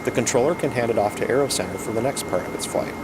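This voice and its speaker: A man reading scripted educational narration in a measured, explanatory manner. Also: medium pitch, very noisy.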